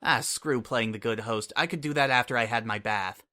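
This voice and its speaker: A man reading a fantasy adventure aloud, telling it in first person at an easy, conversational pace. His voice sits mid-range with a bright, forward tone. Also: medium pitch, normal speed. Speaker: story reader. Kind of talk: dramatic audiobook narration.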